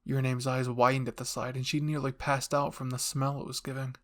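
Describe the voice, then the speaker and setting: A man's voice: mid-range voice, flat delivery, a little fast. A man delivering scripted narration as the host of a fan fiction audio channel.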